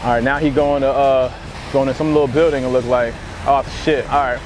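A man's voice: mid-range voice, steady tone, fairly quick, noisy background. A young American man doing a casual fake phone call as a prank, talking in slangy, profane language. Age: young adult. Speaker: prankster host of a hidden-camera show. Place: outdoors on a campus walkway.

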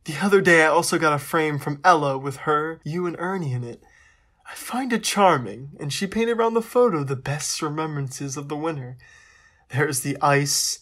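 A man's voice: on the high side, normal speed, a bit bright. An actor playing an affectionate, lighthearted young Russian crown prince, reading his letters aloud in a playful, formal way. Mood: fond, playful. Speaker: actor in an audio drama. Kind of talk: dramatic reading of personal letters.

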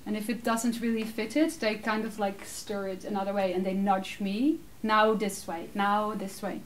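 A Dutch woman in her thirties answering audience questions in a casual, animated way, laughing often; English is her second language. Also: mid-range voice, normal speed. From Netherlands. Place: small room with curtained backdrop, seated. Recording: distant mic, faint room noise.

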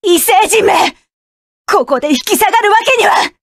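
A woman's voice: very high-pitched, expressive, moderate pace, no room sound, studio quality. A woman voicing a proud, fierce young woman mech pilot who barks curt, commanding and scornful lines. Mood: desperate, furious. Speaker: voice actress. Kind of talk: game voice clip collection.

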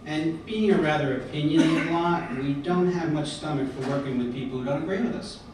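Middle-aged guy giving an earnest convention speech, lots of uhs, echoey room with steady noise. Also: fairly high pitch, a little fast. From United States. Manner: earnest, with frequent fillers and restarts. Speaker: convention speaker. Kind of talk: prepared speech at a podium. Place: large hotel conference hall, indoors. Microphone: podium mic, filmed by a far camera. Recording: echoey hall from far off, background noise.

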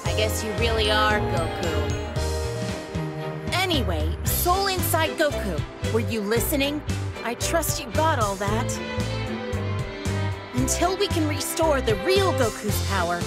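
A sassy genius inventor woman in an anime game. Her high, animated voice is bossy and sardonic, with loud music underneath. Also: unhurried. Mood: sardonic, matter-of-fact. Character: brisk, sassy, brainy adult female inventor. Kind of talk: English-dubbed anime game voice acting.

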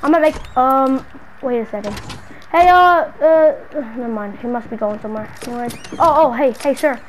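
High-pitched slangy gamer, female voice. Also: moderate pace. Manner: slangy, dramatic, exclamatory. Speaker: gamer narrating a role-play short film. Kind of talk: improvised gaming role-play chatter. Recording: noisy home gaming recording.

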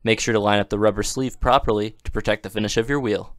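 A man giving scripted, even-toned narration for a product installation video, with steady instructional delivery. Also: fairly low pitch, fast, no echo, studio quality.

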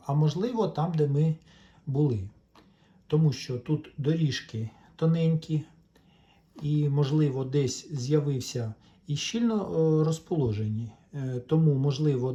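A man's voice: a little high, mellow, husky, muffled recording. A man giving a casual, step-by-step repair demonstration, explaining in a hesitant way. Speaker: electronics repair vlogger.

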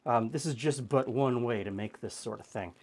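A man's voice: mid-range voice, normal speed. A middle-aged man, hosting a casual home cooking show and chatting through the steps with easy fillers. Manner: relaxed, chatty, thinking aloud with fillers.

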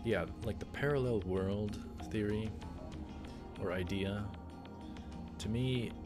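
A man's voice: fairly low pitch, takes it slowly. A young man hosting a let's play, reading visual novel text aloud and adding casual commentary. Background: game music.

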